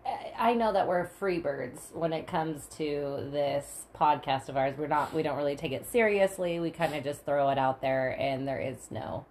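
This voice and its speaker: A woman's voice: deep voice, moderate pace, hardly any pauses, darkish tone, slight background noise. A woman co-hosting a casual podcast, rambling, self-deprecating and laughing often. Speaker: podcast co-host.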